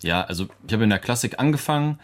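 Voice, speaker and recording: A young man with a very low voice talks through his music in a relaxed, searching way. He is a producer and songwriter whose first language is English, and he pads his answers with fillers and quick light laughs. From Germany. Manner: loose and thoughtful, with many fillers and light laughs.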